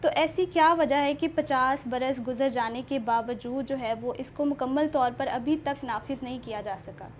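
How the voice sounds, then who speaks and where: A woman with a fairly high voice and a Pakistani accent presents a talk show. She speaks formally and courteously, with some hesitation, and there is clear noise in the background. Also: normal speed, few pauses.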